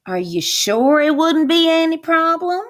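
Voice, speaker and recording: A woman voicing a hungry, sly male wolf from a storybook, polite and confiding in a smooth-talking manner. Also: extremely high pitch, sing-song, a little slow, fairly bright tone, voice pushed up, heavily acted. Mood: tempted, polite. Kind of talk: storybook character voice.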